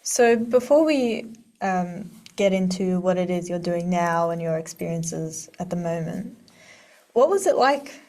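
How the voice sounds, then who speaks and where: A woman's voice: on the low side, expressive, a little slow, a little mellow. A woman hosting an interview show, asking questions in a conversational way. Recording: muffled, distant from the mic.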